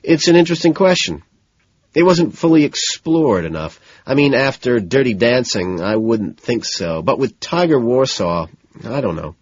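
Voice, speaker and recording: A man voicing a nervous, overeager well-off man who rushes and stammers while faking composure, in an audiobook. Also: mid-range voice, sing-song, husky, voice pushed up. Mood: nervous, earnest. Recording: dull, lo-fi, roomy sound.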